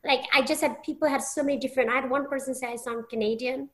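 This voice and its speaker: A woman's voice: sits high, steady tone, fast talker. A young English woman, a podcast guest, talking casually with many restarts and fillers. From England.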